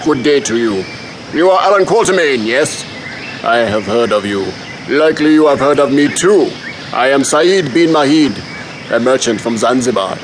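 An actor playing a menacing slave-trading merchant from Zanzibar, formal, courteous and smoothly imperious, in a radio adventure drama. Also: male voice, medium pitch, lively intonation, moderate pace, close to an everyday voice. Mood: suave menace. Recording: noisy outdoor sound effects behind.

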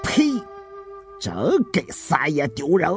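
A man's voice: fairly high pitch, lively intonation, takes it slowly, a bit bright, soft background music, pitched above the performer's own voice, exaggerated voice. Man acting a sneering, hard-hearted gangster boss. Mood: gruff contempt. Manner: sneering, dismissive, coarse slang. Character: jaded, hard-hearted gangster boss, scornful, gruff male. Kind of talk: dramatised audiobook character acting.

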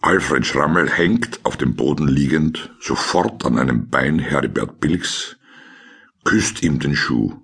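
A man reading scripted scene descriptions as a deadpan radio play narrator. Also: a bass voice, takes it slowly, creaky, husky, full character voice.

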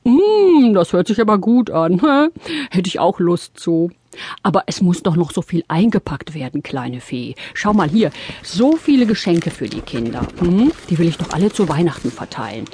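Animated, flustered old Santa Claus. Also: male voice, sits high, slightly rough, exaggerated voice. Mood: friendly, wistful. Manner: hesitant, puzzled, drawn-out exclamations. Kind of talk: children's audio play acting.

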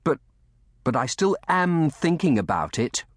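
A man's voice: a little high, very animated, moderate pace, dry recording, clean studio sound, voice pushed up. A man voicing a frail, upset and stubborn young orphaned boy in a storybook, stammering as he protests. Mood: sulky. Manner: stammering, repeating words in protest.

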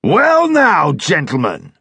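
A man voicing a tall, swaggering royalist cavalry officer who banters loudly and gives orders. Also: a little high, wildly swooping pitch, slow pace, vocal fry, slightly rough, dry recording, voice pushed up, heavily acted. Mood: boisterous. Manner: loud, bantering, commanding. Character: boisterous tall royalist dragoon leader, male, swaggering.

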